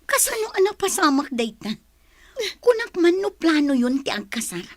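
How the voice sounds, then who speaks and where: A woman's voice: high-pitched, sing-song, moderate pace, no room sound, studio quality. A woman playing a caring, probing older sister in an Ilocano radio drama, with a Filipino accent. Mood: consoling.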